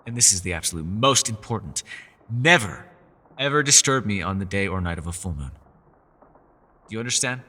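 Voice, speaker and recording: A man's voice: mid-range voice, bright, forceful tone, in a large hall. A man playing a mysterious, scholarly elf in a fantasy roleplay, with a refined, theatrical and teasing manner. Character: mysterious, scholarly male elf, playful yet guarded. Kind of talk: fantasy ASMR roleplay, scripted character acting.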